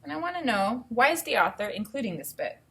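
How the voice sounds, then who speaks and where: A woman teacher reading aloud to children and thinking out loud, explaining things step by step in an instructive way. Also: a little high, very animated, fast, creaky. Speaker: elementary school teacher.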